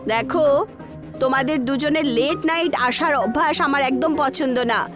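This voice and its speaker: A woman's voice: sits high, a little slow, music in the background. A woman playing a strict landlady who lays down rules, in a cartoon story. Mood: stern.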